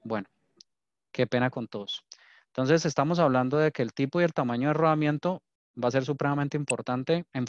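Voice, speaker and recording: A man's voice: mid-range voice, dry recording. A man with a Colombian accent from Cali presenting a technical webinar as an engineer and trainer, explaining and often checking in with short tag questions. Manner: explanatory, frequent "¿sí?" check-ins. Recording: dull lo-fi online meeting audio.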